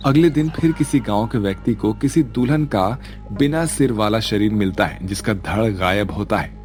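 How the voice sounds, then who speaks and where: Low-voiced male story narrator. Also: expressive, moderate pace, darkish tone, soft background music.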